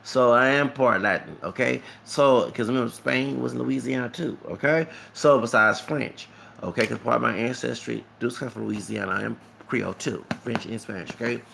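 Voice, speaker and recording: A man's voice: medium pitch, normal speed, gravelly, background noise. A man telling stories in a casual, rambling vlog monologue, often repeating words, tagging lines with "okay?" and slipping into Spanish. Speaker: vlogger.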